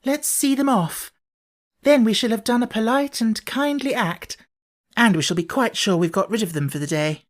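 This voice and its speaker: Storybook girl, played by a woman: young, eager, well-mannered, lifted pitch. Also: moderate pace, natural voice. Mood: cheerful.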